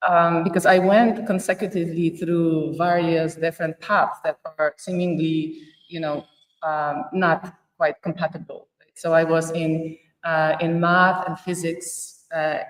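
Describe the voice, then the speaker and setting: A woman's voice: sits low, unhurried. Woman from poland chatting on a podcast, laughs a lot, echoey room, mic kinda far. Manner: laughs often, lively, searching for words. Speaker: podcast guest. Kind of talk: casual interview chat.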